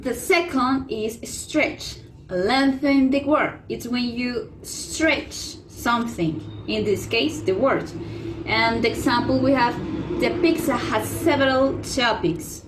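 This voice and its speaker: A woman with a somewhat high voice reads pronunciation tips aloud for a class. She reads haltingly and trips over words now and then, with faint background noise. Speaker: student presenter. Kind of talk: reading a class presentation.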